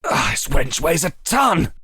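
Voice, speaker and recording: A man's voice: fairly high pitch, sing-song, measured pace, bright, forceful tone, breathy edge, no echo, studio quality, pitched above the performer's own voice, heavily acted. Man acting a brash commander, raised and strained. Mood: straining, annoyed. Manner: strained, defiant exclaiming. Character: arrogant, brash French military commander in battle. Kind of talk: dramatic audio drama acting.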